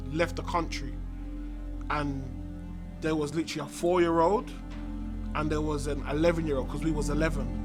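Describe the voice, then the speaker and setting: A young man with a South London accent, recalling his upbringing in a documentary interview. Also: on the high side. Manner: reflective, repeats "do you see what I'm saying". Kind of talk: personal testimony.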